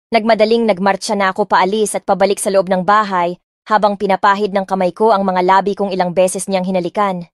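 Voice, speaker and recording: A woman's voice: mid-range voice, no room sound, clean studio sound. A woman narrating a first-person romance story expressively, mixing in English phrases. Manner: expressive, switching freely between Tagalog and English phrases. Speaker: audiobook narrator. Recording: music playing underneath.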